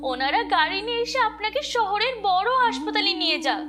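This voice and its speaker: A woman's voice: extremely high pitch, expressive, brisk. A woman voicing a devoted, anxious village caregiver in a cartoon drama, earnest and emphatic. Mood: alarmed concern. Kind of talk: melodramatic cartoon voice acting. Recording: loud background music.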